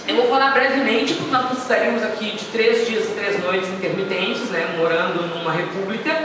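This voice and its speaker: A woman giving a chatty, anecdotal talk to an audience, with self-deprecating asides. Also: mid-range voice. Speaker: guest speaker. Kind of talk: informal lecture. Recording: echoey hall, speaker far from the mic, noisy.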